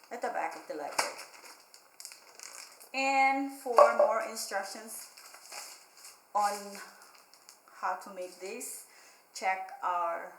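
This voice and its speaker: A woman's voice: mid-range voice, a bit bright. A woman hosting a children's library storytime, explaining a craft in simple, repeated phrases and speaking directly to young children. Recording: roomy echo, faint background noise.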